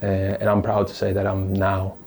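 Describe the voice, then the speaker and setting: Deep, mellow-voiced man from Italy. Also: completely flat delivery, gravelly, a little hiss or hum. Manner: thoughtful, halting, many restarts and fillers. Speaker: podcast guest. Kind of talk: casual interview storytelling.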